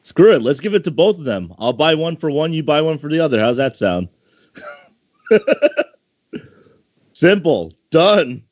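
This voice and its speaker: A man's voice: fairly high pitch, very animated, unhurried, pauses often, a little mellow, no room sound. A man judging an online contest as co-host, chatting casually and without a script in a laid-back, joking way. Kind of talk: casual unscripted chat. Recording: dry, muffled lo-fi voice recording.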